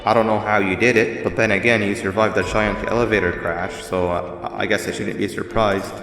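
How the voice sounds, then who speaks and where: A man's voice: lowish voice, fairly even intonation, a little fast, close to an everyday voice. A man playing a polite, apologetic mascot monster with violent instincts in a video game, explaining things courteously and a little hesitantly. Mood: apologetic, uneasy. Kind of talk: video game character dialogue. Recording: echoey reverb, music behind.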